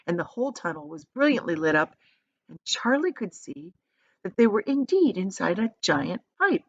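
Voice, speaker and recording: A woman reads a children's story with dramatic emphasis. Her mid-pitched voice moves up and down a lot, and it is recorded dry and slightly muffled. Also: no echo. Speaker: storybook reader, teacher. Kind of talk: expressive children's story read-aloud.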